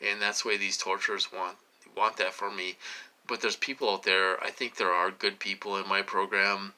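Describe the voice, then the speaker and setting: Sharp-edged, halting man in his late forties. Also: low voice, flat delivery, poor audio. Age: late 40s. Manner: repetitive, halting, dwelling on questions. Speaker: vlogger. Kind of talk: rambling personal monologue.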